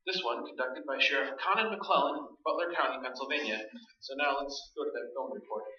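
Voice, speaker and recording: A man playing a grave, official newscaster, reading measured crisis bulletins in a radio play. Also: medium pitch, natural voice. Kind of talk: formal news bulletin acting in a radio play. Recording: echoey stage, distant and dull.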